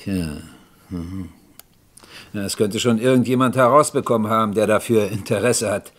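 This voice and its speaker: Guy acting a police inspector in a radio crime play, low voice, polite n formal, measured questioning. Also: lightly acted. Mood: probing, reserved. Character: methodical, courteous male police inspector questioning a witness.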